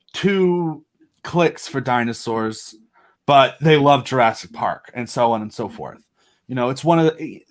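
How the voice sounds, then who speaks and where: A man with an average-pitched voice talks as a guest in a casual interview. He is enthusiastic and rambles along conversationally. His delivery is jokey, with dramatic emphasis on certain words. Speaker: guest, creator of a giant monster audio drama.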